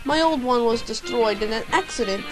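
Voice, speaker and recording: A woman's voice: a little high, normal speed, over music, natural voice, poor audio. A woman playing a bumbling, self-important private detective in an amateur radio drama, with a pompous, theatrical delivery. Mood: self-important narrating.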